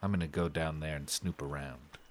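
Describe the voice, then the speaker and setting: An actor playing a gruff, protective amateur detective in a 1930s noir audio drama, speaking in terse, clipped lines. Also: male voice, a bass voice, steady tone, brisk. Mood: guarded, serious. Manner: terse, clipped, hard-boiled.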